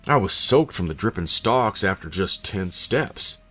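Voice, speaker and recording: A man's voice: deep voice, animated, measured pace, fairly bright tone, heavily acted. A man playing a weary, grumpy old widowed farmer who tells a horror story in a folksy, wry, self-deprecating way. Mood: dry, weary. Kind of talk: first-person horror story narration. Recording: voice over background music.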